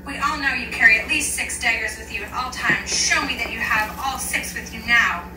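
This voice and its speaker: A woman's voice: a little high, moderate pace, lightly acted. A woman voicing a stern, blunt female detective who questions and accuses. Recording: roomy and distant, noisy background.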